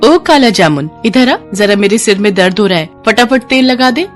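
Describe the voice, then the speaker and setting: A woman's voice: mid-range voice, animated, normal speed, soft background music, pitched above the performer's own voice, natural voice. A woman voicing an arrogant rich young woman in a story, haughty and bossy. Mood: mocking, bossy.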